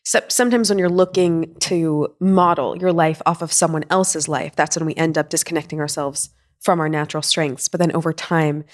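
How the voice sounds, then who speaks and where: A woman with a very low voice hosts a faith podcast interview. She affirms her guest and sums up ideas in a reflective, unhurried way. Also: fairly even intonation. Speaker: podcast host. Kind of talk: interview hosting.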